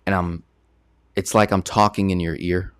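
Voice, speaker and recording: A man's voice: low-pitched, level tone, moderate pace, clear, resonant tone, no room sound, clean studio sound. A man giving a casual online presentation as a personal finance coach, talking conversationally and often checking in with "right?". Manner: conversational, keeps asking "right?".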